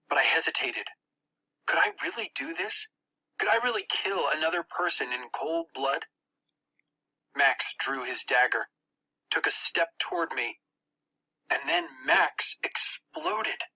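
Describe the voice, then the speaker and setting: A narrator reading a first-person story for an audiobook in a tense, expressive, dramatic way. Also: medium pitch, a little slow, husky, poor audio.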